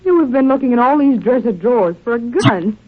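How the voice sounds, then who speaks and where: A woman's voice: a little high, expressive, fairly quick. A woman playing a pert, skeptical detective's sidekick in 1940s radio drama style. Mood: curious. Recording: tinny, hissy vintage radio recording.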